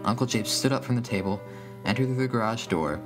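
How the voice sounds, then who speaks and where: A man's voice: mid-range voice, animated, brisk, music in the background. A man reading a scripted first-person horror story as its narrator.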